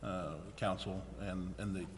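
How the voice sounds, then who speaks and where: A man's voice: deep voice, fairly even intonation, a little slow. A plain-spoken, folksy man informally chairing a council meeting. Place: city council chamber. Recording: noisy, reverberant meeting chamber.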